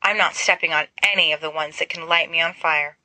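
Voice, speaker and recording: A woman reading a hesitant, wry teenage boy wizard with halting, mock-heroic delivery in an audiobook story. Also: deep voice, steady tone, bright, forceful tone, no room sound, full character voice, poor audio. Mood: wry resolve.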